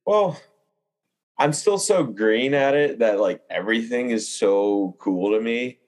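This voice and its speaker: A young adult man chatting casually as a podcast guest, telling hunting anecdotes in an animated way, peppered with "like". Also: medium pitch, measured pace. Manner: animated storyteller, frequent "like".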